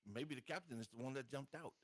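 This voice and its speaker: A man chatting casually as a player in a tabletop game, wry and halting in the way he talks. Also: mid-range voice, no echo. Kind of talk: casual joking game chat.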